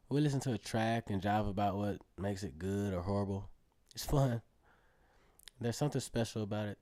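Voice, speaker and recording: A man's voice: lowish voice, mellow, no room sound, natural voice. An actor playing an earnest teenage acrobat who narrates his story in a reflective, conversational way in a radio drama. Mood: thoughtful. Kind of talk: first-person narration in an Afrofuturist radio drama.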